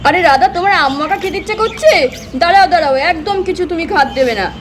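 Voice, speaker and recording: A doting village husband fusses over his wife in a doll puppet cartoon, as a woman voices him. The voice sits high and bright for a man, with a faint hiss of noise behind it. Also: expressive, quick pace. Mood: eager. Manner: fussy, protective, repeats himself. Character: caring village husband, Buchu's father. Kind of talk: doll puppet show voice acting.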